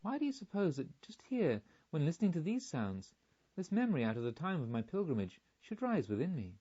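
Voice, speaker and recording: A man's voice: on the high side, sing-song, brisk, warm tone. A man reading a storybook, voicing an anxious, loving male pilgrim in earnest, formal, emphatic speech on a slightly muffled recording. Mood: wondering, recalling. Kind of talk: audiobook storytelling.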